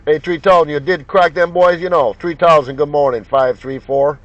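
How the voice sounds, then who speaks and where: Male CB operator, slightly raised pitch, folksy slang and repeated call signs, dull lo-fi sound with radio noise. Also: fairly even intonation, moderate pace. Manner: repetitive, jocular, folksy banter. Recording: muffled lo-fi sound with loud radio noise, clicks. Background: CB radio noise and clicks.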